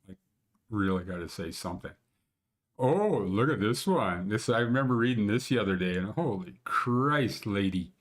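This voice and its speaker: A man's voice: on the low side, expressive, normal speed. Rambling older Canadian man, blunt jokes. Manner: rambling, blunt, joking, sometimes crude. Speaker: mountain conditions show host. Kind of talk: casual screen-share commentary. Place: small room at home.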